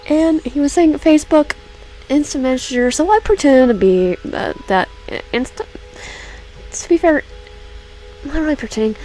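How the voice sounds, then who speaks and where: Lively young woman reading a game aloud. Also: fairly high pitch, rough recording. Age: young adult. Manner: halting reading with many restarts, chatty asides. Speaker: gaming YouTuber. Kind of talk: reading a visual novel aloud with commentary.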